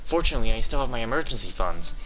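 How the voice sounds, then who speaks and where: A man voicing an earnest, self-denying, obsessive female researcher from a video game in a measured, matter-of-fact reading. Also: mid-range voice, sing-song, lightly acted, low-quality recording.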